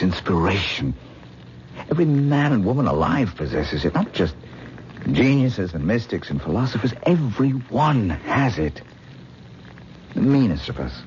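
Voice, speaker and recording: An actor playing a famous, self-pitying stage actor who gives lofty, melodramatic speeches in a 1970s radio drama. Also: male voice, deep voice. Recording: dull old radio broadcast with faint hiss.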